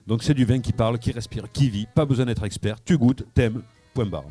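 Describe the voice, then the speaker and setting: A man's voice: mid-range voice, fairly quick, warm tone, soft background music. A man telling a wine anecdote on live radio from a wine shop, with frequent hesitations and repeated words. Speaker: wine columnist. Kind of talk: radio wine chronicle, storytelling.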